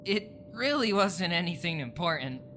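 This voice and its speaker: A man's voice: sits high, big pitch swings, normal speed, bright, forceful tone, slight background noise, full character voice. A man playing an anxious, guilt-ridden teenage creature boy in an audio drama, hesitant and evasive.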